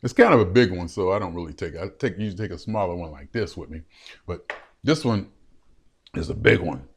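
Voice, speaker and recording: A man in his late fifties talking on and on to a webcam in his room, animated and laughing, often repeating himself. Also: low voice, a little fast, slight background noise. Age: 50s. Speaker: vlogger. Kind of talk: rambling solo vlog to a webcam.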